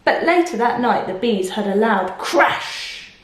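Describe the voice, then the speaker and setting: High-voiced woman storyteller, echoey room. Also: a little slow. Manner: expressive, questioning, explanatory. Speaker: children's storyteller. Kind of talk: picture book read-aloud with asides to children.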